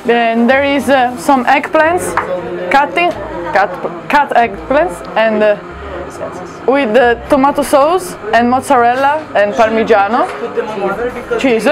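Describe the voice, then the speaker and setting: A woman's voice: high voice, slow, crowd noise. A woman in her late teens with an Italian accent, chatting casually to camera in a kitchen. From Italy. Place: indoor kitchen.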